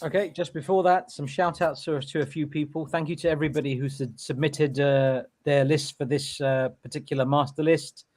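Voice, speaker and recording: A man co-hosts a podcast with a voice a little higher than most men's. He mocks himself with a laugh, then reads out a ranked list steadily. The chat is casual. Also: brisk, few pauses. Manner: joking and self-mocking, steady list reading. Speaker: podcast co-host.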